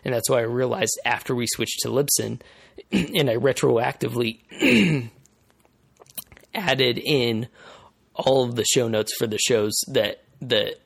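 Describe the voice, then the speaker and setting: A male podcast host explaining things in casual conversation, haltingly, repeating phrases as he thinks aloud. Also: mid-range voice, creaky, husky, no echo.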